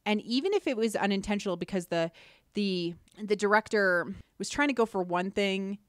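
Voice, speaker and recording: Female podcast host, analytical and emphatic, medium-pitched voice, dry close recording. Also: normal speed, clear, resonant tone, no echo.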